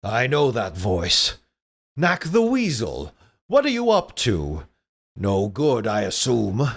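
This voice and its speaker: A fat, self-important villain acted out by a man blusters through a comic-book script. He is quick to outrage, and his pitch swings widely as he speaks. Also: wildly swooping pitch, breathy edge, heavily acted. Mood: sly, suspicious. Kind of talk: amateur comic-book script read-aloud.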